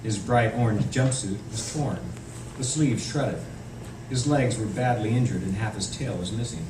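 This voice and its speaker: A man's voice: fairly low pitch, normal speed. A man, an author, reading narrative prose aloud from his novel at a live indoor event. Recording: echoey noisy room, distant microphone.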